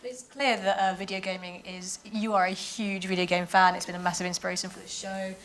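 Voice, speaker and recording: A woman's voice: medium pitch, little pitch movement, brisk, a bit bright. A woman moderating a panel, putting questions to a guest in a conversational interview style. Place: live event panel.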